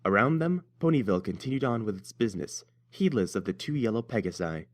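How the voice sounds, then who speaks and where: A man's voice: fairly low pitch, expressive, fast talker. A man narrating a scripted story as its reader.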